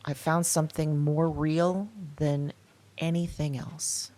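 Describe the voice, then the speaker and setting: Audio drama lead: woman playing an older female outcast, afraid yet defiant. Deep register, confessional delivery in broken, emphatic phrases. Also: little pitch movement, slow, mellow. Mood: fearful, defiant.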